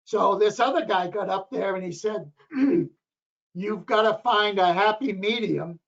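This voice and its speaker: A hoarse man on a town planning board, rambling through a report to the other members in a meeting room. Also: high voice, measured pace, fairly bright tone. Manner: rambling, repeats himself. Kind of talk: meeting discussion. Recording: dull lo-fi local TV audio.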